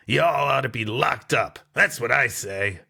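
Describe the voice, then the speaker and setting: A man's voice: mid-range voice, measured pace, gravelly, sharp tone, breathy edge, heavily acted. A man voicing Joe, a belligerent, grumpy man who growls his lines.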